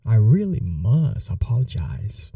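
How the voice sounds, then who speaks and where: A man playing a gentle, old-world vampire gentleman in an intimate ASMR roleplay, speaking in a polite, soothing, softly formal way. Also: lowish voice, big pitch swings, unhurried, creaky, no echo, studio quality, distant mic, exaggerated voice. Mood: courteous, lightly amused.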